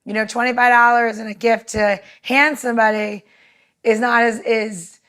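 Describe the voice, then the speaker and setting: A woman with a fairly high voice co-hosts a casual podcast. She talks earnestly and tends to ramble as she goes, in an informal conversational style. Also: steady tone, normal speed, a bit bright, smooth. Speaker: podcast co-host.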